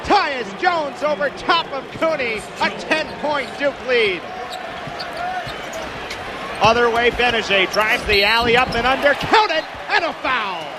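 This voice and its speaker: A young American man calls sports play-by-play in a high-pitched voice. Loud venue noise runs behind him as he follows the action. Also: lively intonation, unhurried. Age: 20s. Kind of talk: live play-by-play commentary. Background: arena or ballpark ambience.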